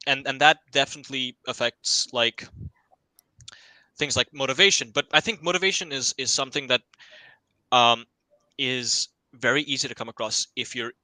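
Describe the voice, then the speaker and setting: A man's voice: medium pitch, pushed and bright, no echo, poor audio. A young man giving casual, reflective answers as a panel guest, thinking aloud and often checking with tag questions. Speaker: self-learner guest on an education panel.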